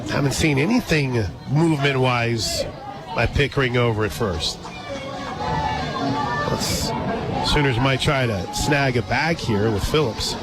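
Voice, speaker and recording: A man doing live radio play-by-play of a softball game, mixing calls of the action with casual, conversational chat. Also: medium pitch, a little slow, pauses often. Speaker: radio play-by-play sports announcer. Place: outdoor ballpark radio booth. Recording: loud crowd and music behind broadcast. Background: loud stadium crowd and music.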